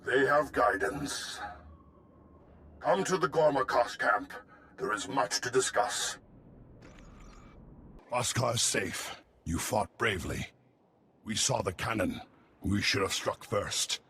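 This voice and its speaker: A man's voice: medium pitch, animated, slow, vocal fry, long pauses, pushed and bright, a little hiss or hum, exaggerated voice. A man voicing a stern, formal alien commando leader in a video game, speaking in clipped, solemn declarations.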